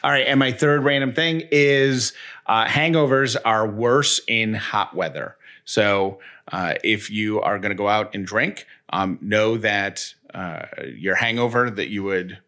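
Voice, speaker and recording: Bright, forceful low-voiced man hosting radio. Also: measured pace. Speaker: radio show co-host. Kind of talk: casual radio banter and host-read ads.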